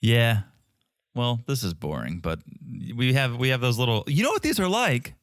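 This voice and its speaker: A man's voice: mid-range voice, very animated, normal speed, no echo, clean studio sound. A man with a North American accent co-hosting a podcast, chatting casually with a playful, teasing manner. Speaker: podcast co-host. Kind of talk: casual banter and TV recap chat.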